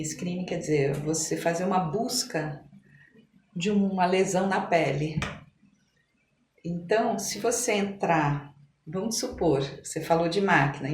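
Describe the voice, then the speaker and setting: Echoey, low-voiced Brazilian woman explaining. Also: a little mellow. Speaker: interview guest, medical professional. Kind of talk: conversational podcast explanation. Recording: echoey room, faint background noise.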